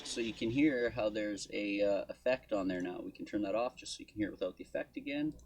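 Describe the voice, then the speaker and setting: A man giving an informal software walkthrough as a tutorial host, thinking aloud as he goes. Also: lowish voice, fast. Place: indoor desk at home studio.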